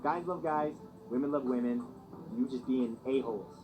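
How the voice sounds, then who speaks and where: A man's voice: mid-range voice, normal speed, music in the background, low-quality recording. A man narrating a scripted countdown video, mixing read-out facts with slangy asides, heard as played-back video audio.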